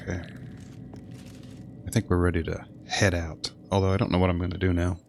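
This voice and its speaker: A man's voice: deep voice, level tone, a little fast, creaky. A man giving casual gameplay commentary as he streams, with game sound behind him. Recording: noticeable background noise behind voice.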